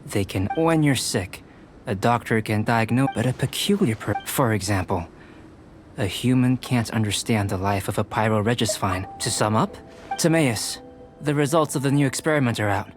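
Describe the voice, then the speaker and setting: A man playing a calm young alchemist. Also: mid-range voice, natural voice. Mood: calm, analytical. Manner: measured, precise, academic. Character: calm young male alchemist scholar, composed. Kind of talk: game voice acting. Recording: game audio with clear music behind. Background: game music.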